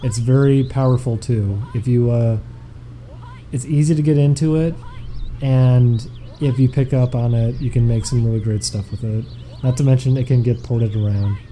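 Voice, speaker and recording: A young man chatting casually on a game stream, reading out donation messages in a relaxed, meandering way. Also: mid-range voice, moderate pace, slight background noise.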